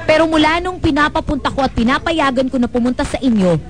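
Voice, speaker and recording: A woman's voice: high-pitched, fast talker, some chatter behind, natural voice. A woman playing an earnest, troubled single mother in a radio drama, with an emotive, pleading delivery. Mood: reproachful. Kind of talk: Filipino radio drama acting. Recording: music bed under the voice.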